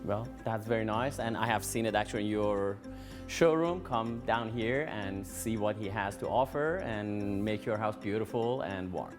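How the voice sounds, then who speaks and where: A man's voice: on the low side, moderate pace, over music. A man hosting a design show, presenting and interviewing in an effusive, complimentary way. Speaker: TV show host.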